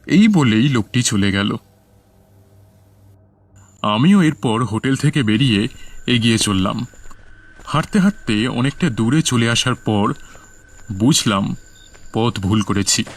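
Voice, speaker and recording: A man's voice: mid-range voice, animated, slow, pauses often, no room sound. A man telling a first-person horror story as scripted cartoon narration. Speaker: narrator and lead character.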